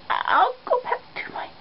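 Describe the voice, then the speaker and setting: A woman voicing a cute, vain, girlish young man from a band, squeaky and protesting, in a comedy skit. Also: exaggerated voice. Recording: muffled lo-fi audio, faint noise.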